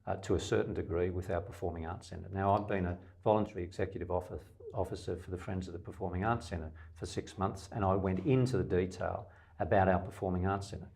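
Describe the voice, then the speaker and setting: Australian man, middle-aged, political candidate, emphatic, low voice, gravelly. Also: fairly even intonation, fast, darkish tone. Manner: emphatic, speeches in long lists, occasional stammered restarts. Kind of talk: campaign interview answers.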